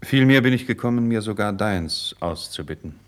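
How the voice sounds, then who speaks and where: A low-pitched, self-assured nobleman from a 1950s radio play, performed by an actor. He is loyal and courteous, with measured theatrical diction and firm control. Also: lively intonation, natural voice. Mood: calm, insistent. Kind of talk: classical German radio drama acting, 1950s.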